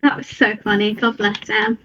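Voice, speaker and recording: A woman's voice: medium pitch, fairly even intonation, moderate pace, sharp tone, muffled recording, slight background noise. A woman playing a tabletop game, chatting casually in a playful, dramatic, exclamatory way. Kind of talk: casual chat.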